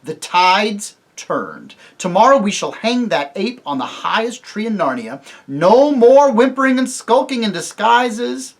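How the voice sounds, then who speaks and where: A reader voicing a stern young king who speaks loudly and formally. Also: mid-range voice, big pitch swings, takes it slowly, long pauses, full character voice. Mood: commanding. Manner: loud, forceful, formal.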